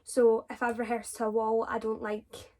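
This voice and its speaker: A young woman with a Scottish accent, her voice sitting a little high. She talks casually through viewers' questions, rambling with many fillers and restarts. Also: completely flat delivery, normal speed, dark tone. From Scotland. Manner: chatty, with frequent fillers and self-corrections. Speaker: drama student vlogger.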